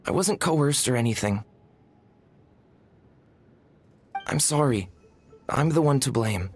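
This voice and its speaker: A woman's voice: rumbling low, expressive, moderate pace, a little mellow, soft background music. A woman voicing an earnest, self-doubting, apologetic young tribeswoman in a video game, meek and hesitant. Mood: defensive, contrite.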